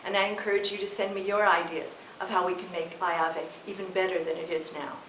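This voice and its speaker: Middle-aged American woman, earnest and measured, low-ish voice, roomy echo with faint hiss. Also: steady tone, fairly bright tone. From California. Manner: measured, earnest, slightly halting delivery. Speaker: election candidate. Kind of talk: prepared video statement. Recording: echoey room with faint hiss.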